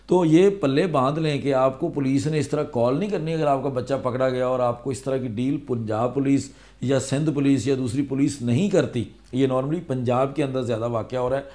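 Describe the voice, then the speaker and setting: A man with a Pakistani accent talks straight to his viewers in a vlog. His voice is mid-pitched with a soft, relaxed tone. He explains his advice in a conversational way, one step after another. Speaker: vlogger giving legal awareness advice.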